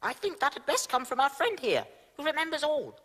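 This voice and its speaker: Pompous owl parliamentarian: high, swooping voice, formal delivery, long hall reverb. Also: female voice, very animated, brisk, fairly bright tone. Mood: explanatory, solemn. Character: wise, self-important talking owl leading a parliament. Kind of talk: audio drama acting.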